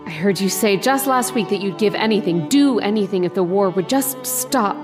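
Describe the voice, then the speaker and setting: A woman's voice: medium pitch, expressive, normal speed, close to an everyday voice. A woman playing a tender, insistent, eerily knowing dream lover in an old-time radio horror drama, intimate and melodramatic. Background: violin music.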